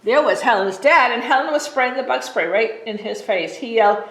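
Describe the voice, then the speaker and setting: A woman librarian reading a bedtime story aloud in a gentle, expressive way. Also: a little high, moderate pace. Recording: roomy echo.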